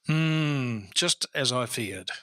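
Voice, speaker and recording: An actor playing a boastful, famous Victorian-era detective inspector in an old-time radio drama, formal and theatrical in manner. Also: male voice, medium pitch, lively intonation, slow, a bit bright. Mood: self-assured, storytelling. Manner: theatrical, self-assured, formal.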